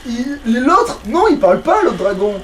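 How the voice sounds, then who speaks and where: A man's voice: very high-pitched, very animated, a little slow, fairly bright tone. A man chatting casually in crude, slangy as he comments on a video game he is playing. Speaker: let's play gamer. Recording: noisy room, distant microphone.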